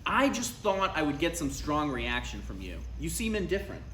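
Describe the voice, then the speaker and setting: A man plays a defensive son in his thirties at a live comedy table read. His voice is slightly high and jumps around in pitch as he argues in halting, incredulous bursts. The room rings around him with faint noise. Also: very animated, a bit bright. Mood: argumentative. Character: Ryan, exasperated thirty-six-year-old son, needling and defensive. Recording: roomy live recording, faint background noise.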